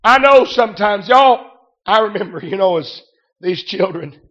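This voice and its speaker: A man preaches a fervent revival sermon, raspy and worn hoarse from a week at the pulpit. He scatters amen and hallelujah through every phrase and breaks into shouts. The recording is dull and muffled. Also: high-pitched, lively intonation, moderate pace.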